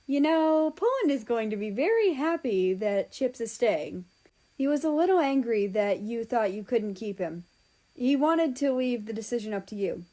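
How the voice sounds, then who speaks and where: A woman's voice: a little high, big pitch swings, normal speed, dark tone, rough recording. A woman voicing an easygoing, reassuring man, a supportive friend, in a story reading. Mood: encouraging.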